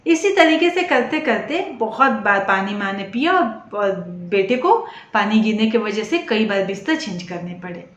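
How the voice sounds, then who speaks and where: A woman's voice: medium pitch, lively intonation, moderate pace. A woman telling an emotional moral story, speaking directly to her listeners as a storyteller. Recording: echoey room, dull sound, faint noise.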